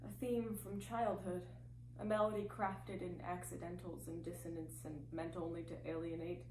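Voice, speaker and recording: A woman's voice: mid-range voice, a little mellow. In an echoing room with a faint hum, a teenage girl recites poetry. She is a young student with a mid-range voice. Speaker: student reader. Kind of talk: scripted poetic reading.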